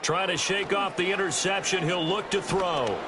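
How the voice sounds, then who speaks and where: A man calling play-by-play football in a brisk, crisp game-commentary style. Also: high-pitched, very noisy. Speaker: play-by-play announcer. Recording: studio voice with game music behind. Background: game music.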